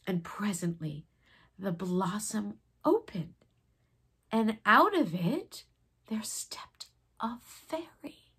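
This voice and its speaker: Lady reading a kids book out loud, breathy husky voice, dramatic pauses, very expressive. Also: medium pitch. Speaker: storybook reader. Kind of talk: expressive children's story narration.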